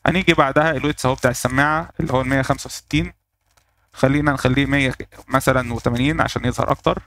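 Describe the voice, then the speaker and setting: A man with an Egyptian Arabic accent walking through a software tutorial step by step, pausing on fillers as he works. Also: mid-range voice, fairly even intonation. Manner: explains step by step, thinking aloud with fillers. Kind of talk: screen-recorded software tutorial.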